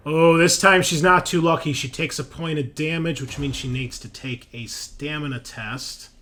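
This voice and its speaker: A man's voice: a little high, fairly even intonation, normal speed, pushed and bright, slight background noise. A man acting as game master for a tabletop roleplaying game, thinking aloud as he narrates and rules on the action. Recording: background music under voice.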